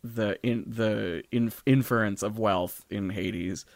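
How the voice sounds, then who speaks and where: A man's voice: fairly low pitch, fairly even intonation, takes it slowly, vocal fry, no room sound. A man co-hosting a casual chat, talking in a tentative, wandering, conversational way. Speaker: podcast co-host.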